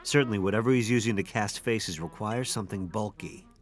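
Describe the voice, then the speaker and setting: A man's voice: fairly low pitch, fairly quick, faint music behind, close to an everyday voice. Male actor as cocksure aging detective. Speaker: actor in a radio drama. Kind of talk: radio drama acting.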